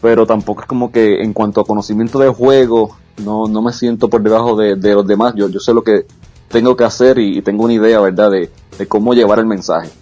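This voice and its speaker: A man's voice: lowish voice, steady tone, a little fast, warm tone, faint music behind. A man, an esports caster speaking frankly and confidently as a guest in a casual interview. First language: Spanish. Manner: frank, self-assured, with frequent restarts.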